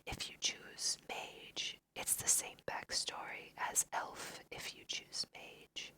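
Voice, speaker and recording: A woman with a slight cold whispering ASMR video game commentary, gently guiding the listener in a breathy, unhurried way. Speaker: let's play host guiding through a video game.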